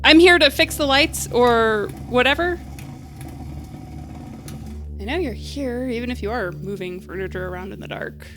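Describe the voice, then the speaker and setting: A woman playing an awkward, bluffing young repair worker in a comedy audio drama, halting and wryly correcting herself. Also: a little high, fairly bright tone, faint music behind, lightly acted. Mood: wry, uneasy. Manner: halting, self-correcting, wry.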